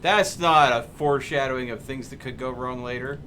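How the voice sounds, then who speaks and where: A man's voice: mid-range voice, fairly quick, pushed and bright, a little hiss or hum. A man streaming a video game and bantering casually with a co-player in a wordy, argumentative, exasperated way.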